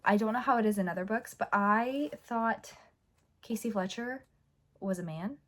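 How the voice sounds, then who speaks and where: A woman book vlogger with a hoarse, fading voice, chatting casually and rambling with lots of fillers and restarts. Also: mid-range voice, moderate pace. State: hoarse, losing her voice. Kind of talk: casual chat.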